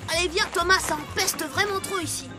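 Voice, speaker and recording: A mischievous, playful young boy from a children's cartoon, speaks in a very high voice. He sounds like a cheeky prankster. Loud music plays behind the dialogue. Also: brisk. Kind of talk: French children's cartoon dubbing. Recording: background music over the dialogue.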